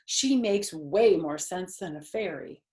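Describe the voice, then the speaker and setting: A woman's voice: on the low side, animated, measured pace, darkish tone. A woman voicing a spirited, stubborn first-grade girl who argues earnestly and emphatically in a storybook reading. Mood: insistent. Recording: roomy, echoey home recording.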